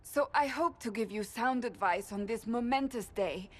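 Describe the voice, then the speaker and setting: A sincere, slightly awkward young woman, higher voice. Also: normal speed, fairly bright tone. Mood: earnest. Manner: earnest, hesitant, formal.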